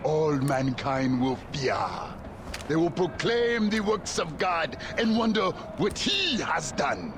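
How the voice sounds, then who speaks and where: A booming, fervent ex-warlord preacher. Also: male voice, big pitch swings, slow, music in the background. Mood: solemn. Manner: preachy, booming, scripture-quoting. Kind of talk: video game acting.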